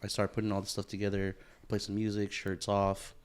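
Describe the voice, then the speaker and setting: A man's voice: lowish voice, monotone, a little fast, smooth. A man in his thirties co-hosting a podcast, chatting casually with lots of swearing and bro-talk. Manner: laid-back, swears a lot, says bro and dude. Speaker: podcast co-host. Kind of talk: casual chat.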